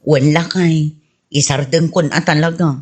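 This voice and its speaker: A woman's voice: low-pitched, steady tone, a little slow, a little mellow. A woman playing a vain wife with an Ilocano Filipino accent in a radio comedy drama. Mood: yielding. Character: vain wife fond of filtered selfies.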